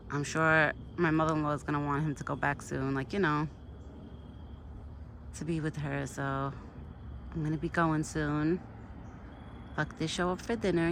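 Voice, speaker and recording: A middle-aged woman chatting casually outdoors to her viewers. She keeps breaking into high sing-song baby talk for an animal. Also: fairly bright tone, slight background noise. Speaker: vlogger talking to a wild deer. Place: outdoors in a yard.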